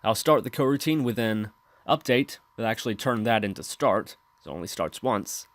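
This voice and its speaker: A man's voice: fairly low pitch, lively intonation, moderate pace, no echo. Man narrating a tutorial, close and dry. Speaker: tutorial host. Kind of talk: scripted software tutorial narration.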